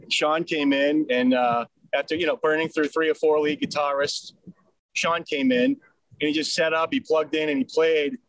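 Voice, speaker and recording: A man's voice: fairly high pitch, flat delivery, moderate pace, dry recording, rough recording. Chatty man with a Boston accent. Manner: easygoing, chatty storyteller. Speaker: band singer and rhythm guitarist. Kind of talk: casual storytelling in an interview.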